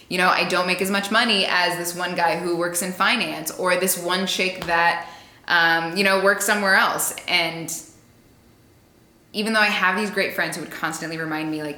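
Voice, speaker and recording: A woman's voice: on the low side, pushed and bright, reverberant. A woman in her late twenties chatting casually and upbeat in an interview, laughing readily. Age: 20s. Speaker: interviewee, radio and social media personality. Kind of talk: casual conversation.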